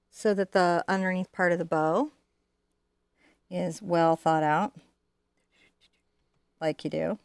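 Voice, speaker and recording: A woman's voice: mid-range voice, clean tone, no echo. Chatty woman with a dry, close sound. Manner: chatty, digressive, informal. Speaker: host teaching a live painting class. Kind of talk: casual chat and painting demonstration. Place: indoor art studio.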